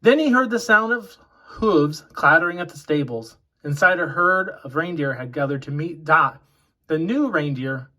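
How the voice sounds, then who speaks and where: A man reading a children's picture book aloud in a deliberate storytelling way. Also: fairly high pitch, expressive, bright, forceful tone.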